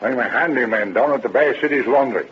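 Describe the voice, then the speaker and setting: A man's voice: medium pitch, quick pace, husky. An actor playing a cautious, crotchety old handyman with folksy, plain-spoken talk in a 1930s radio drama. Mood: uneasy. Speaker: witness in court. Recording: muffled old radio recording, faint noise.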